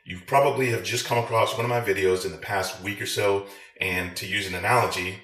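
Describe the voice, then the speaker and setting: A man's voice: fairly low pitch, fairly even intonation, fairly quick, a bit bright, echoey room. A man delivering a profane, sarcastic rant to his viewers as a veteran and video creator. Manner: crude, sarcastic, swearing.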